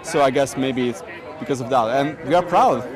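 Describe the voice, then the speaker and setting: A man whose first language is Italian, talking in a friendly, halting way with lots of fillers. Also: mid-range voice, over music. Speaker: festival guest. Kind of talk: casual street interview. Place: outdoor festival. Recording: outdoor crowd noise behind.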